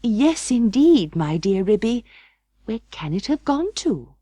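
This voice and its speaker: A woman voicing an excitable, anxious female dog in a storybook reading, flustered and melodramatic. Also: fairly high pitch, measured pace, no echo, pitched above the performer's own voice. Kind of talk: audiobook storybook character voice.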